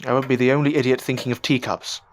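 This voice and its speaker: A man's voice: mid-range voice, little pitch movement, fast talker, a little hiss or hum, lightly acted. A man playing a self-doubting novice magician, desperate to succeed, in an audio drama, with formal, old-fashioned phrasing. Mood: frustrated, reluctant. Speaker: voice actor in an amateur audio drama.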